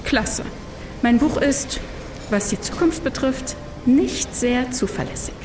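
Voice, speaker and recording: Woman as a slow-talking, sceptical travel companion. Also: sits high, expressive. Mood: wry. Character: sceptical, wry woman friend reluctantly joining an adventure. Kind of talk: German science-fiction audio drama. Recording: roomy, distant mic, background noise.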